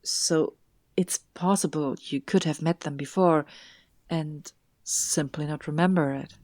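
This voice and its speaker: A woman playing a guarded, soothing police detective in an audio drama, with a breathy, often whispery and measured delivery. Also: sits low, steady tone, a little mellow, dry recording. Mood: hesitant, probing. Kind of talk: hushed audio drama acting.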